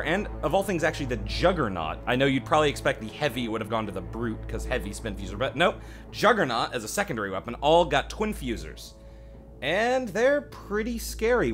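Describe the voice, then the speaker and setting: A man hosting a gaming video, commenting casually and conversationally with wry asides. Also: medium pitch. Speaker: gaming channel host. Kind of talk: casual game update commentary.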